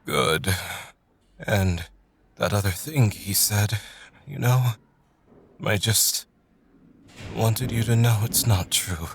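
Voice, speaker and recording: A man's voice: fairly low pitch, slow, pauses often, slight background noise. A man playing a sensitive, flustered, bookish young poet in an audio drama, speaking in an earnest, wordy way. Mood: ashamed, timid. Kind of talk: visual novel audio drama acting. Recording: music behind the voice.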